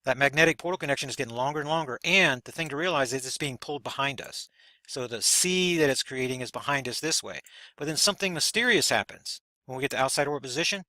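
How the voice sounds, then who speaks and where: A man's voice: medium pitch, fast talker, pushed and bright, dry recording. A man hosting a video, talking through a chart in a loose, rambling way, often repeating words as he points things out. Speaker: video host explaining a chart. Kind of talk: casual explanatory monologue.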